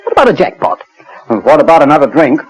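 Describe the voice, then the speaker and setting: An actor playing a jaunty male card-playing ship's passenger with a husky voice in a 1940s radio drama. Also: a little high, very animated, quick pace, rough recording. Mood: breezy, eager. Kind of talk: 1940s British radio acting. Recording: old radio recording, music behind.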